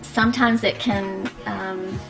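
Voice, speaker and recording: A woman speech-language pathologist, a guest on a radio interview, explaining clearly and patiently with frequent ums. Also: medium pitch, fairly even intonation, slow pace, rough recording. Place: radio studio. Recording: radio studio with music behind.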